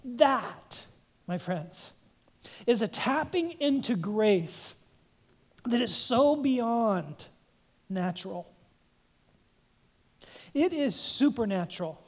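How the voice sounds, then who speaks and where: A woman pastor preaching with earnest conviction. Her voice sits slightly high, and she often turns to the congregation with direct questions before pressing her point home. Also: lively intonation, extremely slow, long pauses, a little mellow, breathy edge. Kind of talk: sermon.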